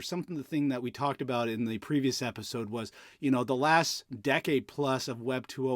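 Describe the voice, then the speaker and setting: A man's voice: medium pitch, no room sound, clean studio sound. A man hosting a podcast, chatting and interviewing in a casual conversational style. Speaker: podcast host.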